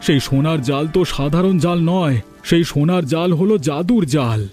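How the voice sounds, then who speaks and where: A man's voice: a little high, normal speed, a little mellow, natural voice. Cartoon villager role, male actor: greedy rich schemer, insistent and blustering, slightly muted delivery over faint music. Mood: excited scheming. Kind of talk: Bengali cartoon story voice acting.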